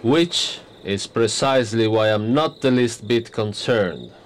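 A man's voice: mid-range voice, a little slow, close to an everyday voice. An actor playing a blunt, self-satisfied prime minister in his sixties, wry and teasing, in a radio drama. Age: 60s. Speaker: politician character.

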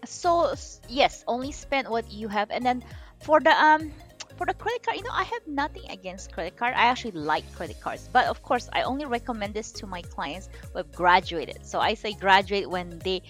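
A woman talks money as a financial coach in a relaxed interview. She sounds animated and chatty, restarts her phrases and often says "you know". Her pitch sits in the middle, with faint music behind. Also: normal speed. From Philippines. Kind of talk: casual interview chat.

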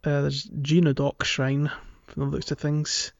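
A man's voice: fairly high pitch, completely flat delivery, unhurried, gravelly. A man co-hosting a podcast, chatting casually about a game and thinking aloud in a hesitant way. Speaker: podcast co-host. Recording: dull lo-fi audio with music.

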